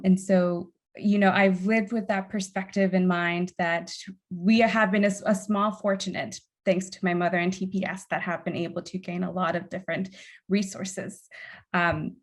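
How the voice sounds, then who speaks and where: Woman, native spanish speaker, gravelly low voice, earnest panel talk. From United States. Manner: earnest, with frequent "um" and "uh".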